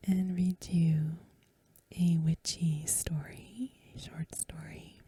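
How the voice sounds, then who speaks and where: A woman speaking in a hushed, gentle ASMR style, breathy and mostly whispered, unhurried as she hosts and reads aloud. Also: lowish voice, flat delivery, dry recording. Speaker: host of an ASMR channel, academic reader. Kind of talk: soft-spoken ASMR talk and story reading.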